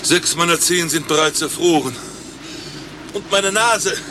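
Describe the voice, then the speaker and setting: An actor playing a self-important, deluded doctor in a satirical radio play, melodramatic and urgent. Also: male voice, fairly high pitch, a little slow, background noise, close to an everyday voice. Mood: delusional, grand. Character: deluded, self-important male doctor freezing in an imaginary blizzard.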